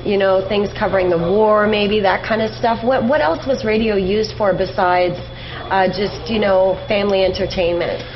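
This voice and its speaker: A woman's voice: medium pitch, muffled recording, slight background noise. A woman hosting a casual radio interview, putting questions in an informal, slightly hesitant way.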